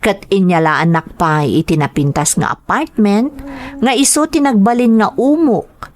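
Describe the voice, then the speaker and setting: A woman's voice: mid-range voice, measured pace, darkish tone, lightly acted. A woman with an Ilocano accent playing Maricel's part, a coy, ambitious young mistress in a radio drama. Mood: confiding narration.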